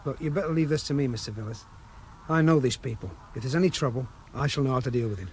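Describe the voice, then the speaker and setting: A man's voice: mid-range voice, fast, darkish tone, a little hiss or hum, close to an everyday voice. A man acting in a radio drama as a wary, scheming desert guide with a colloquial, matter-of-fact manner. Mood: low, wary.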